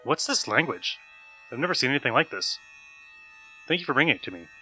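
A studious, overworked male professor, played by a man, speaks in a bright, forward voice with lively pitch movement. It is audio-drama dialogue over faint music. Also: a little fast. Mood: intrigued, polite. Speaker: academic.

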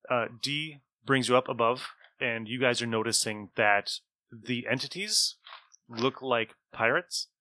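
A man acting as game master, narrating a tabletop adventure in an informal, halting way. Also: mid-range voice, sharp tone, dry recording. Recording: music under the talk.

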